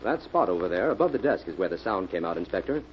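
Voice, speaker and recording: An actor playing a confident detective, explaining briskly and assuredly in 1940s radio drama style. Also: male voice, sits low, very animated, soft background music. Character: confident male detective explaining a solved case. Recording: muffled vintage radio recording, faint hiss.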